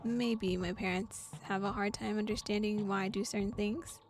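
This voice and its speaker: A young adult woman streaming, narrating a visual novel aloud and breaking into excited, laughing reactions. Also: medium pitch, moderate pace, vocal fry, background noise. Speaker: VTuber streamer.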